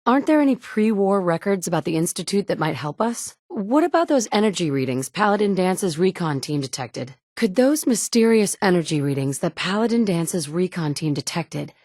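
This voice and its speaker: A woman's voice: fairly low pitch, lively intonation, normal speed, few pauses, darkish tone, dry recording. A woman voicing the player character in a video game, a capable survivor who talks in a direct, firm way. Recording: dry studio recording.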